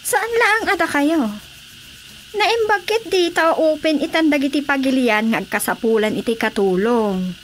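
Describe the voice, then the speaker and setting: High-voiced persuasive madam recruiter played by an actress. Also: female voice, moderate pace, a little hiss or hum, lightly acted. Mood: promising. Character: smooth-talking madam recruiter, persuasive and businesslike. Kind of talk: Ilocano radio drama comedy acting.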